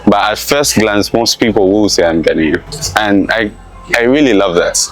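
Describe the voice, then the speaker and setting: A man's voice: fairly low pitch, lively intonation, normal speed, soft background music, rough recording. A young man with a Zambian accent who gives casual interview answers as a student. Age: young adult.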